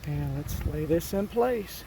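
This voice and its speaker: A fairly high-voiced man talks through a roof repair in a folksy, rambling way, restarting his sentences as he works. His voice is rough from a cold. A faint hiss sits behind him outdoors. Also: expressive, takes it slowly. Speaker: DIY vlogger. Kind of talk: casual narration while working.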